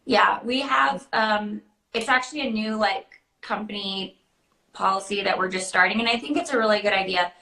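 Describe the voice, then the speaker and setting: A woman's voice: mid-range voice, normal speed, creaky, bright, forceful tone. A woman answering questions in a casual, informal chat, peppering her hesitant phrasing with "like". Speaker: guest answering questions about character voices. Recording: roomy, echoey sound.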